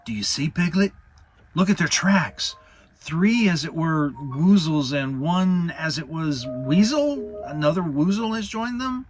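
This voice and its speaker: A man playing an earnest, slow-thinking, friendly toy bear in a children's storybook, puzzling things out ponderously. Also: fairly high pitch, animated, soft background music, pitched above the performer's own voice. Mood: earnest, excited. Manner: ponderous, halting, earnest deliberation.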